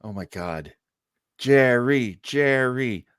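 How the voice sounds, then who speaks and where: A man's voice: mid-range voice, lively intonation, takes it slowly, fairly bright tone, smooth, no echo. Man, show host, casual live chat, rambling, jokey, emphatic delivery, dry close sound.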